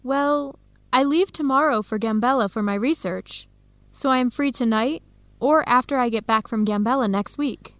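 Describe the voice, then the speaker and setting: A woman's voice: a little high, moderate pace, fairly bright tone, dry recording, natural voice. An actress playing a friendly young woman researcher in an educational radio drama, speaking in simple, short replies. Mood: friendly, pleased.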